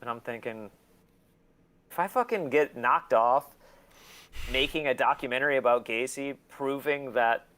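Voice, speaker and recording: A man's voice: mid-range voice, moderate pace, pauses often, fairly bright tone, clear, resonant tone. A man being interviewed, telling stories in casual chat with blunt, sweary talk and an easy laugh. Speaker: interview guest, collector and documentary maker.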